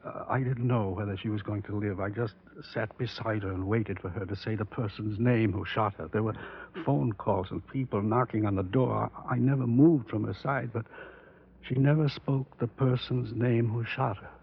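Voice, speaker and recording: A grieving doctor, muffled old recording. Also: male voice, on the low side, steady tone, vocal fry, a little hiss or hum. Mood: anguished. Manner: halting, weary, subdued. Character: grieving, resigned middle-aged doctor and father. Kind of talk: 1950s radio drama acting.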